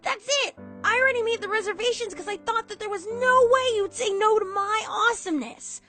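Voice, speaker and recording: A woman playing a brash, excitable young female pegasus pony in an animated, emphatic fan audio drama style. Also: extremely high pitch, a little fast, over music. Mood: elated, excited. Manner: emphatic, emotional, impulsive.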